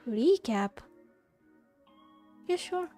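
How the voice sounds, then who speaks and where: Slow, high-voiced woman streaming a game. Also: expressive, warm tone, soft background music. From India. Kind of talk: casual livestream reactions and reading game text aloud.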